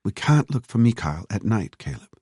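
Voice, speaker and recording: A man's voice: fairly low pitch, sing-song, moderate pace, dark tone, husky, no room sound, pitched above the performer's own voice. A man voicing a practical young woman, speaking in a dry, firm and teasing way in an audiobook thriller.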